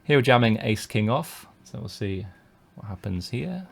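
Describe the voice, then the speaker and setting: A man's voice: mid-range voice, animated, moderate pace, vocal fry, husky. A British man, a poker coach, commenting casually on his own live-streamed tournament play and talking through each decision. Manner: thinks aloud with many fillers and restarts.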